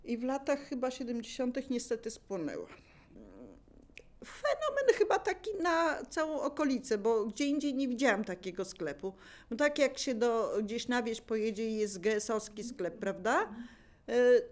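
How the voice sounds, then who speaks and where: A woman's voice: high voice, a little mellow. An older woman casually sharing memories as a local resident, searching for words and repeating herself to explain. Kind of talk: casual spoken reminiscence.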